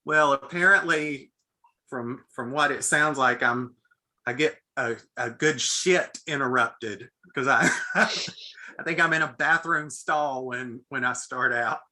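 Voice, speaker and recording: A man's voice: mid-range voice, slow pace, sharp tone. A man chatting casually on a group video call, in a chuckly, self-deprecating way. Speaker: actor in a film cast. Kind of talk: casual chat. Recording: clearly noisy call with clicks.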